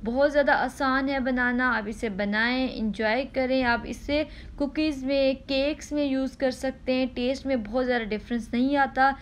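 A woman host, giving a patient step-by-step cooking demonstration at home, repeating instructions and pausing with "ah" between steps. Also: high-pitched, fairly even intonation, a little hiss or hum.

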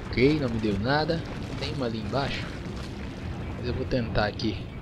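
Casting: Brazilian male gamer, mid-pitched voice, casual play-by-play, clear noise behind him. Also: normal speed. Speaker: gaming YouTuber. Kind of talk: casual gameplay commentary. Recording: noisy, game sound behind voice.

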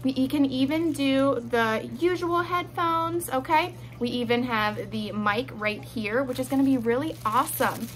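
A woman in her thirties with a high voice walks through a product in a chatty, instructive way. She often checks in with the listener, and there is faint noise behind her. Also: unhurried, hardly any pauses. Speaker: product reviewer. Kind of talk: product demonstration to camera. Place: small indoor room at home, seated.

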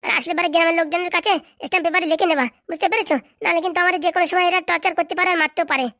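A woman's voice: very high voice, fairly even intonation, very fast, a bit bright, dry recording, clean studio sound. A woman playing an aggrieved, stubborn young wife, argumentative and emphatic, in a comic cartoon. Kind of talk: comic cartoon drama dialogue.

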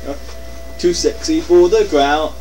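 Higher-voiced man commentating from off the mic. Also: a little slow, background noise. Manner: wisecracking, explanatory. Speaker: let's play co-commentator. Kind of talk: casual gameplay commentary with banter. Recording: distant mic with game music behind. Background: video game music and sound.

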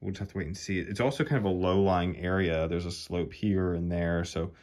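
Man, deep low voice, laid-back how-to narration, thinks out loud, hobby room. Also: brisk. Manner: relaxed, thinking aloud while working. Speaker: model railroad hobbyist. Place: hobby room at a model train layout.

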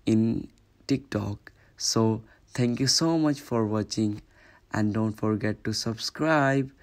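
A man's voice: on the low side, takes it slowly. A man presenting a step-by-step app tutorial in simple, instructional narration.

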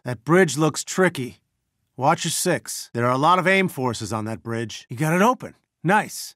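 An actor playing an earnest, wry scientist who leads resistance fighters, briefing in a conversational, clipped way in a video game. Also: male voice, medium pitch, dry recording, studio quality, close to an everyday voice. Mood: encouraging. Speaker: mission dispatcher giving orders and updates.

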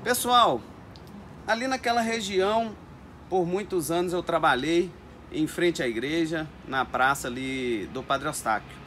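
A man chats to the camera from inside an orchid greenhouse, often calling out to his viewers. His voice sits a bit high for a man. Steady background noise runs under the camera mic. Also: a little slow. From Brazil. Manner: chatty, keeps addressing viewers as "gente". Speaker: orchid grower. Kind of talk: casual talk to camera with growing tips. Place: indoors in a greenhouse full of orchids.

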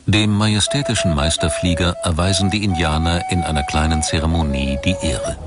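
Very deep-voiced male documentary narrator. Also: fairly even intonation, fairly quick. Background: music behind the voice.

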